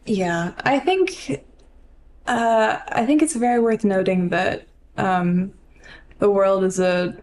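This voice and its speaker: Woman podcast cohost, medium pitch, informal, keeps restarting her thoughts, little bit of noise. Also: slow, a little mellow. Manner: informal, hesitant, often restarting mid-thought. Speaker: podcast co-host. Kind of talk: casual chat.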